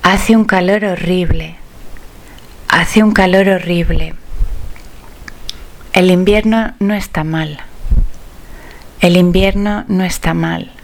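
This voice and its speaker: A woman teaching phrases, saying each one twice slowly and carefully as a language drill. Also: on the low side, fairly even intonation, rough recording. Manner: slow, careful, clearly enunciated repetition.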